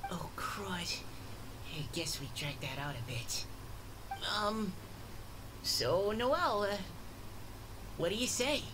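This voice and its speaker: A woman's voice: medium pitch, lively intonation, slow pace, long pauses. Anxious, stammering young boy knight. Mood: nervous. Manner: hesitant, stammering. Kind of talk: video game voice acting. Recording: game audio with background noise.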